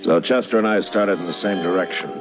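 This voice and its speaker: Man acting a stern American western lawman, extremely low voice, measured, terse, old radio drama, music behind. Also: highs cut off, natural voice. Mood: grave narration. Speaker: lead character and narrator.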